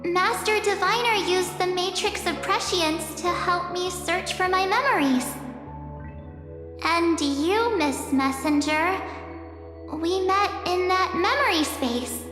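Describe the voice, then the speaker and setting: Very high-pitched, flustered anime girl. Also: slow pace. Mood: earnest. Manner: expressive, exclamatory, hesitant. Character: perky, naive young girl adventurer, easily flustered. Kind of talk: anime game voice acting. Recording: echoey long reverb, loud music.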